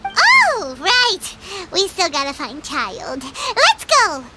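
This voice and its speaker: A woman's voice: extremely high pitch, wildly swooping pitch, very slow, bright, forceful tone, slight background noise, full character voice. A woman voicing a tiny, chatty, cheeky childlike companion in a video game. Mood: excited cheeky. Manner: refers to self in third person, chirpy.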